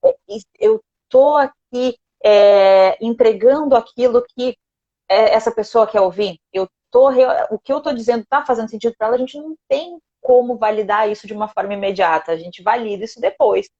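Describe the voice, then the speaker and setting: A woman's voice: a little high, normal speed, warm tone, highs cut off. A woman who mentors others in communication, a guest on a live video call, explaining her method step by step and rephrasing her points as she goes. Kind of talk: explanatory conversation.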